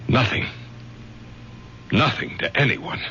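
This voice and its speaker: A man's voice: slow, sharp tone. An actor playing a stern middle-aged father, a college professor who takes charge in firm, clipped lines, in a vintage radio drama. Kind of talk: 1970s radio drama acting. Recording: dull vintage radio recording with faint hum.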